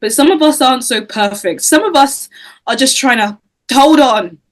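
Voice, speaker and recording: A woman's voice: sits high, normal speed, lightly acted. A woman playing a traumatised, grieving teenage girl, guarded but defiant, in an amateur script read. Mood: hurt, angry. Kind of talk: amateur screenplay table read.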